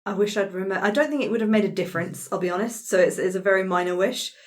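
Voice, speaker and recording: A woman's voice: mid-range voice, quick pace. A woman who plays tabletop games, talking casually and informally in a post-game discussion.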